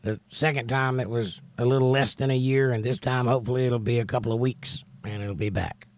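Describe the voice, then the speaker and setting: An American man hosting a casual livestream and reading out viewer comments, hoarse from laryngitis, folksy and joking with preachy asides. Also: medium pitch, fairly even intonation, a little fast. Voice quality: hoarse, strained. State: laryngitis, losing his voice. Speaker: livestream host.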